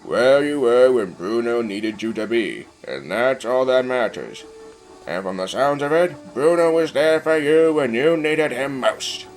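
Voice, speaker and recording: A man playing a stern but fair railway controller who speaks in a formal, measured, admonishing way. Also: mid-range voice, animated, low-quality recording. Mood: reassuring.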